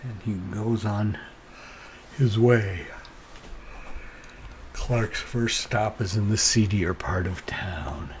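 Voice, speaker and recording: A man narrating a solo tabletop role-playing game, improvising haltingly and thinking aloud as game master. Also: on the low side, slight background noise.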